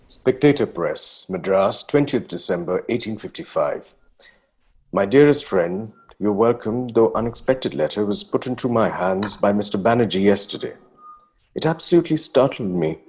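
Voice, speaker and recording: A man's voice: lowish voice, animated, moderate pace, a little mellow, breathy edge. A man with an Indian accent playing an effusive, anxious young nineteenth-century Bengali poet, reading his letters aloud in a theatrical, ornate, exclamatory manner. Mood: distressed, urgent.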